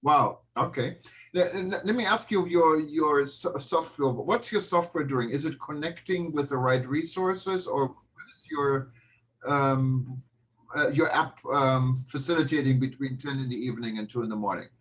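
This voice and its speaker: A man from Germany, moderating an online panel with hesitant, halting phrasing and many restarts. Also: medium pitch, a bit bright, low-quality recording. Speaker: panel host.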